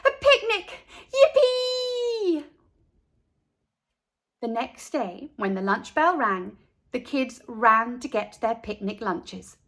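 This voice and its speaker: A woman reading a children's picture book aloud as a storyteller, in a deliberate, expressive manner. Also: a little high. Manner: slow, deliberate, animated. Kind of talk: expressive read-aloud narration for kids.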